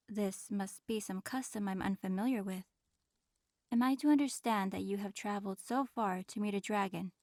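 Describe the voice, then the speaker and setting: A woman's voice: medium pitch, a little mellow, dry recording, close to an everyday voice. Woman playing an aloof, regal ancient dragoness, close and dry. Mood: guarded, curious. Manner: formal, measured, guarded speech. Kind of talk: audio roleplay, in-character monologue addressed to listener.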